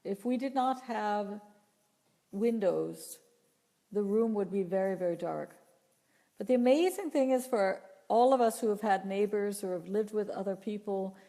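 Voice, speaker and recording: Slow, mellow-voiced woman in a reverberant room. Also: medium pitch. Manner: unhurried, earnest, repeats and restarts phrases. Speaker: voice teacher answering viewer questions. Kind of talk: live Q&A talk, reading chat questions.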